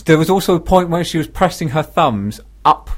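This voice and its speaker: A British man co-hosting a radio show, chatting casually and telling self-deprecating comic stories in an animated way. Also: on the high side, fairly quick. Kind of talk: casual comic chat, anecdote.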